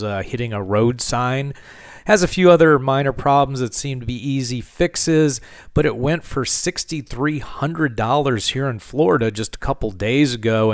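A man hosting a car channel, talking casually over a market review with relaxed fillers. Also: mid-range voice, no echo, clean studio sound. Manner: relaxed, conversational, frequent "uh" fillers.

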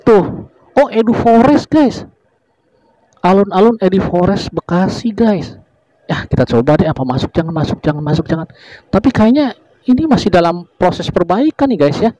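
A man's voice: sits high, expressive, moderate pace, mellow, dry recording, poor audio. A man from Indonesia narrating a motorbike ride through village roads in casual, chatty fashion, constantly addressing his viewers and wondering aloud about the way ahead. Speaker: motovlogger.

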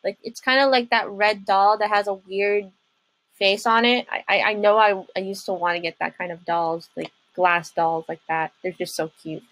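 A young adult woman giving casual reaction commentary as a video host, rambling informally and excitably. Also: medium pitch, normal speed, poor audio. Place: small room at home.

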